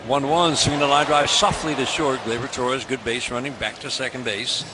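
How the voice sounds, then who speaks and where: A man's voice: mid-range voice, steady tone, moderate pace. An American man calling a baseball game live as a radio play-by-play announcer. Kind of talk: live radio sports commentary. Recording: stadium ambience noise behind the voice. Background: ballpark ambience.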